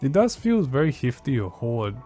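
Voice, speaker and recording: A man's voice: mid-range voice, very animated, moderate pace, mellow, soft background music. A man hosting a tech review in a casual, conversational way, with emphatic stresses and self-correcting asides. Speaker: tech review video host.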